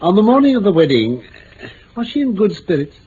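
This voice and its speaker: A man's voice: sits high, very animated, brisk, dark tone. A shrewd English detective questions with cool courtesy and authority. His phrasing is formal and dryly ironic, with a very mobile melody. The vintage drama recording is dull, with a light hiss. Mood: probing. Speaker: actor in the lead detective role. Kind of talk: 1950s-60s BBC radio drama acting. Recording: muffled, hissy old radio recording.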